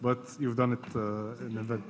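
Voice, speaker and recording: A man's voice: mid-range voice, flat delivery, brisk, over a noisy crowd. A man moderating a panel, thanking speakers politely with hesitant fillers. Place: university lecture hall. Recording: echoey hall with faint noise.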